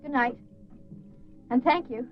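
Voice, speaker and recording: A woman's voice: high-pitched, slow pace, lo-fi sound, over loud music. An actress playing a grieving, anxious young woman haunted by a voice, in a radio drama. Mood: subdued concern.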